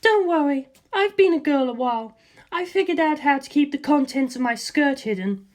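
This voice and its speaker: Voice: sits high, lively intonation, normal speed, pitched above the performer's own voice. A reader voicing a bold, playful young woman fighter in an audiobook, with a breezy, offhand manner. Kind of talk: audiobook character voice.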